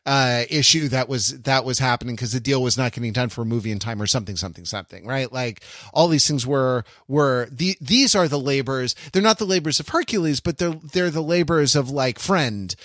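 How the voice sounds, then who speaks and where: A man's voice: medium pitch, brisk, few pauses, a bit bright, no echo, studio quality. A man co-hosting a podcast, talking in long rambling sentences with many restarts and laughing asides. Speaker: podcast co-host. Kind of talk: casual discussion.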